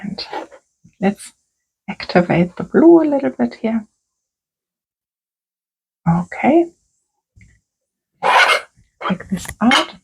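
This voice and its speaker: A woman working as a creative therapist, talking gently and softly through a calm crafting tutorial. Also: mid-range voice, creaky, slightly rough, muffled recording. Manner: gentle, soft-spoken, unhurried.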